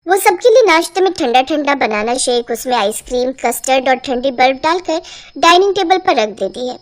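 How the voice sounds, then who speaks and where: A woman's voice: sits high, lively intonation, normal speed. A woman narrating a scripted family story as a storyteller.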